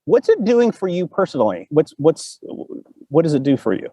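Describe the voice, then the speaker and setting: A man's voice: on the high side, sing-song, moderate pace, mellow, breathy edge, dry recording. A man hosting a casual, unscripted interview, asking questions in an informal, rambling way. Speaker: talk show host.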